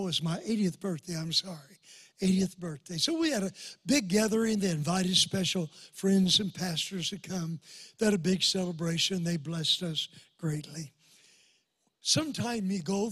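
An elderly man in his eighties preaches from a church pulpit, his old voice hoarse and husky yet pitched fairly high. He tells folksy stories and calls out for amens from the congregation. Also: a bit bright, no room sound. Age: 80s. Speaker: guest pastor. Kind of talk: sermon with personal stories. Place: church sanctuary before a congregation.

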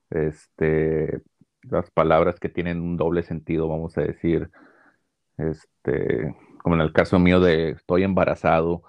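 Mexican male guest teacher, low voice, muffled sound, chatty explainer. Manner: explanatory, frequent "este" and "o sea" fillers. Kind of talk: casual explaining.

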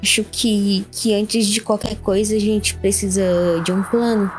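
A man's voice: sits high, little pitch movement, unhurried, music in the background, poor audio. A man with a Brazilian accent, a player describing actions in a tabletop game.

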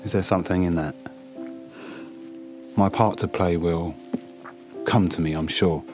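Deep-voiced, brooding magpie. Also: male voice, steady tone, over music. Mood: subdued, guarded. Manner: halting, subdued. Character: brooding, reserved male magpie, awkward and guarded. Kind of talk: audio drama acting.

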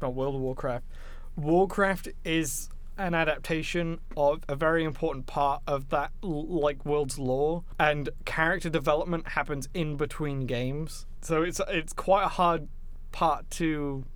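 A man's voice: a little high, moderate pace. A man co-hosting a podcast, giving his opinions on films in casual, informal conversation. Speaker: podcast co-host. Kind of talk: casual film discussion chat.

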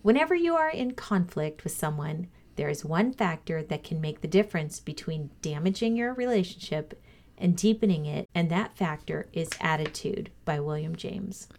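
A woman reading a motivational lesson aloud as a co-host, in a measured, instructive way. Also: low-pitched, animated, a little mellow.

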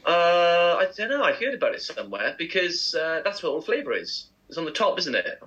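A man co-hosts a casual breakfast radio show. His voice has a bright, forward edge with a husky grain. He talks in a chatty, enthusiastic way. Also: on the high side, big pitch swings, fairly quick, lo-fi sound. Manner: chatty, enthusiastic food talk.

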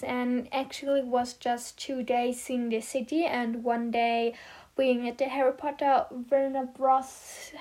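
A fifteen-year-old girl whose first language is German speaks in a high voice, taking her time. She rambles through a casual chat about herself, full of fillers and little giggles. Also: a little mellow. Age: teens. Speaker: vlogger answering questions about herself.

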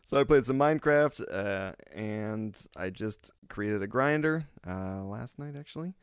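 A man's voice: lowish voice, expressive, measured pace, clean tone, no room sound, clean studio sound. A man hosting a casual podcast chat over beers, joking loosely and laughing often. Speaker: podcast host.

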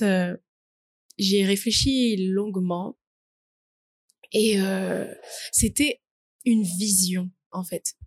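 A young woman in her twenties, from French Guiana, talks casually as a podcast guest. She hesitates often and pads her sentences with small fillers. Her voice has a mid-range pitch and a dry, close sound. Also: no room sound. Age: 20s. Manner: hesitant, many "euh" and "du coup", laughs easily. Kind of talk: casual interview talk.

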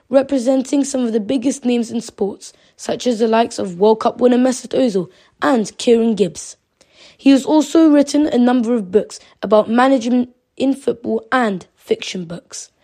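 A woman reading a scripted podcast introduction in an even, read-aloud manner. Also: on the high side, normal speed.

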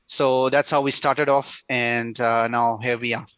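A man, a startup CEO, answering interview questions haltingly with many pauses and "uh" fillers. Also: mid-range voice, level tone, a bit bright, clean tone, no echo.

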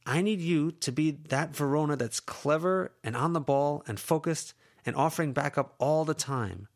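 A man's voice: on the high side, normal speed, voice pushed up, lightly acted. A man voicing an exasperated teenage girl who scolds her friends with tense, pleading frustration. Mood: frustrated scolding.

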